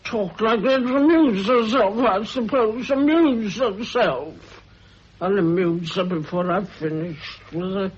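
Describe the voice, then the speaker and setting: An actress playing a spiteful, scheming older woman who speaks in a slow, husky, threatening drawl. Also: mid-range voice. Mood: muttering. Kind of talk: radio drama acting. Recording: dull old radio recording.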